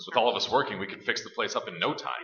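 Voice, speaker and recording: A man's voice: fairly low pitch, rapid-fire, crowd noise, close to an everyday voice. A male actor in a staged radio play, playing a tough, defiant survivor who is blunt and commanding. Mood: firm, defiant. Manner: forceful, challenging, blunt. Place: live stage performance. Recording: echoey, dull live recording, audience noise.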